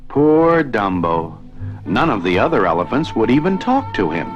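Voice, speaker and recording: A man narrating a children's read-along storybook in an expressive, deliberate storytelling manner. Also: fairly low pitch, dull audio. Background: music.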